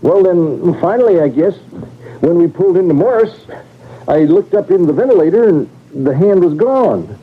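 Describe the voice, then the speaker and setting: An actor playing a frightened baggage master with a husky voice, stammering and agitated, in old-time radio drama style. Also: male voice, high voice, animated, moderate pace. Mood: nervous, flustered. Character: frightened, flustered male baggage master on a train. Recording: tinny old radio recording.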